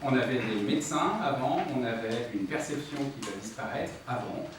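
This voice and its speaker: A man's voice: mid-range voice, normal speed. A man arguing as a local official in a council debate, insistent and emphatic, repeating his points. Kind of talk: political debate, explaining and arguing. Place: indoor municipal council meeting. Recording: very echoey room, distant mic, noisy.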